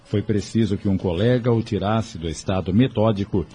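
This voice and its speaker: A man's voice: lowish voice, normal speed, warm tone, slight background noise. A man narrating an audio novel in a scripted storytelling style. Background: music.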